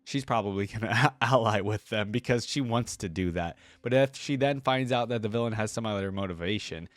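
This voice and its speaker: A man's voice: lowish voice, brisk, no echo. Casual rambling man, close dry sound. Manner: rambling, conversational, checks in with "right?". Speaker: YouTube reaction host. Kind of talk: casual reaction commentary to camera.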